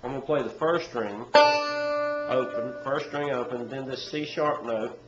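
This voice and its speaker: A man with a head cold and a stuffy nose, teaching banjo in a relaxed, rambling way with many pauses. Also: medium pitch, over loud music. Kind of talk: casual instructional talk. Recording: echoey room with dull, lo-fi sound. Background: loud banjo playing.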